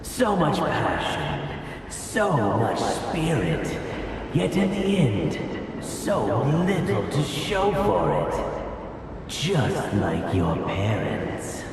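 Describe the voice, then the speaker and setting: Slow, cavernous-voiced gloating villain of doom. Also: male voice, mid-range voice, big pitch swings, heavy background noise, heavily acted. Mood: mocking menace. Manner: theatrical, mocking, drawn-out villainous delivery. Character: gloating, menacing male embodiment of death and fate. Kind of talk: dramatic video game villain acting. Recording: cavernous long reverb, distant, under music.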